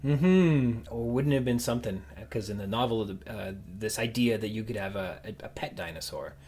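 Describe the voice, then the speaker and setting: A man hosting a casual podcast interview, talking in a halting, conversational way. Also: lowish voice, a little mellow. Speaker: podcast host.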